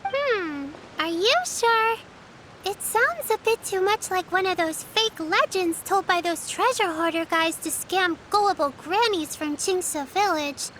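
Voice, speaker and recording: An eager little game heroine, with a very high, bright voice that bounces around in pitch. She sounds polite, caring and keen to help, with music playing underneath. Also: a little hiss or hum, heavily acted, rough recording. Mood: doubtful. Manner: earnest, caring, childlike, polite. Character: eager, helpful little girl herb gatherer. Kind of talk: video game character acting.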